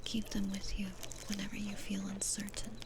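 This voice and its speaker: A woman playing a gentle, patient, kindly demon florist in an ASMR roleplay, in a soft breathy whisper with a soothing, nurturing manner. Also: mid-range voice, completely flat delivery, very noisy, close to an everyday voice. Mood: tender, encouraging. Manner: slow, soothing, nurturing, unhurried.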